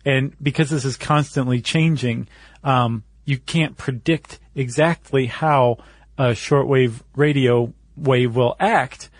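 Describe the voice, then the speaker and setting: A man's voice: mid-range voice, slow, no echo. Casual man explaining, close dry sound. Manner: informal, slangy, explaining step by step. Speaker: podcast co-host.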